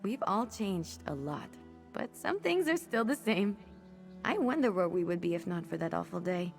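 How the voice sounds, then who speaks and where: A woman playing a loyal, warm-hearted young former pickpocket in a video game, speaking in an earnest, heartfelt way. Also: lowish voice, sing-song, normal speed, vocal fry, faint music behind. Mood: wistful. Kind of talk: video game character dialogue.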